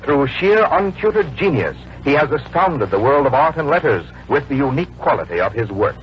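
A man's voice: medium pitch, big pitch swings, brisk. A man announcing in a polished, scripted 1940s radio style, telling stories as sales copy. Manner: polished, storytelling sales delivery. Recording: muffled, noisy old radio broadcast.

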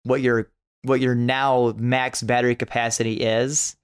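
A man's voice: mid-range voice, flat delivery, unhurried, smooth. A man chatting casually as a guest on a podcast. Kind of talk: casual chat.

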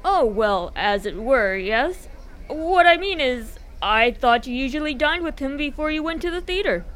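A woman playing an excitable, bumbling young upper-class gentleman in a radio drama, full of flustered old-fashioned slang. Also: high voice, normal speed. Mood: awkward, probing.

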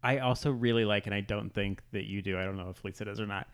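A man's voice: fairly low pitch, fairly even intonation, quick pace. A man co-hosting a podcast in casual conversation. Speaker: podcast co-host. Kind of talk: casual chat.

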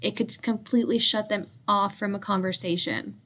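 A woman's voice: medium pitch, fairly even intonation, normal speed, fairly bright tone, slight background noise. A young woman co-presenting in a loose, conversational way, often using "like" as filler. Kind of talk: casual discussion.

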